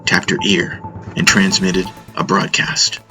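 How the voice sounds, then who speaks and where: A man's voice: low-pitched, completely flat delivery, takes it slowly, over music, low-quality recording. A man reading scripted first-person fiction as an audiobook narrator.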